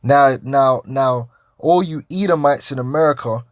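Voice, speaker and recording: A man's voice: medium pitch, a little slow, dry recording, clean studio sound. A man giving confrontational religious commentary as a Hebrew Israelite preacher, challenging and profane, with many repeated restarts.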